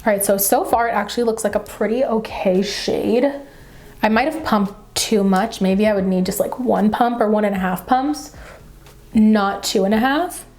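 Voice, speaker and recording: A woman beauty vlogger chatting casually and enthusiastically to camera, with a slightly dry, raspy voice from getting over the flu. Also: medium pitch, normal speed, darkish tone. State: getting over the flu, mild sore throat. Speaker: beauty vlogger, channel host.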